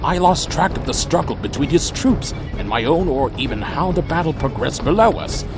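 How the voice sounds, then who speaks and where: A man acting a defiant, intense vampire warrior who narrates his tale gravely and theatrically in a fan audio drama. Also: fairly high pitch, lively intonation, normal speed, over music. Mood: grave narration. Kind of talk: dramatic first-person narration and acted dialogue.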